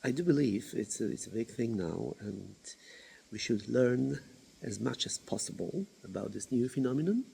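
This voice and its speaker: Man, mellow tone with a rough edge, animated, halting self-intro. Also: slight background noise. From Poland. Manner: lively, swooping pitch with halting pauses. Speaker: university international coordinator. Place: remote village.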